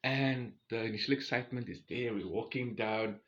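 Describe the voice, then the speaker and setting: A forty-year-old South African man casually telling a personal story to his viewers in an expressive, chatty way. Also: mid-range voice, normal speed, muffled recording. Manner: expressive, chatty, acts out quoted exchanges. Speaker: vlogger.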